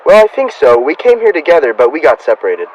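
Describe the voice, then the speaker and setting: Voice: medium pitch, big pitch swings, fast, lo-fi sound, crowd noise, lightly acted. An actor playing a goofy, matter-of-fact teenage boy in radio comedy style. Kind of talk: old-time radio comedy acting.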